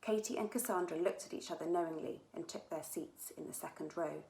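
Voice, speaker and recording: A woman author reading her own children's adventure book aloud in an animated storytelling style. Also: on the low side, brisk, vocal fry. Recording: echoey room.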